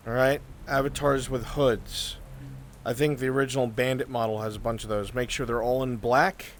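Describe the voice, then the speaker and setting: A man working as game master of a live roleplay, narrating and directing players in a blunt, sweary way. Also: mid-range voice. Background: steady rain.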